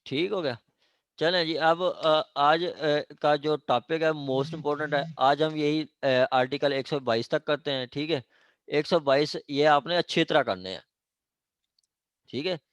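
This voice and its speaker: A man's voice: fairly high pitch, measured pace, no echo. A man with a Pakistani accent giving an informal classroom lecture as a teacher, often checking that students follow. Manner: didactic, frequent check-in questions to students. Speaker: law lecturer. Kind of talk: informal classroom law lecture mixing Urdu and English legal terms.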